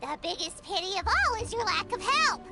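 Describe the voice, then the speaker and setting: A squeaky, childlike little game fairy, indignant and huffy. The pitch is extremely high and very animated. Loud music runs behind the voice. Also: female voice, normal speed, exaggerated voice. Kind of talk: video game voice acting.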